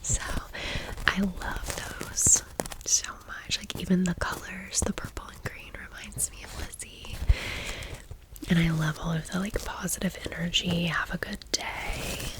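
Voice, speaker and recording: A woman's voice: fairly low pitch, steady tone, a little slow, low-quality recording. A woman from Tennessee in her thirties whispering in a chatty, confiding way as an ASMR storytime host. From Tennessee, United States. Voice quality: breathy whisper.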